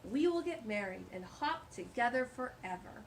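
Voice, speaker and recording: A woman's voice: a little high, expressive, normal speed, a little hiss or hum, poor audio. A woman reading as a sweet male bunny in a children's storybook, earnest and tender. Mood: tender, happy.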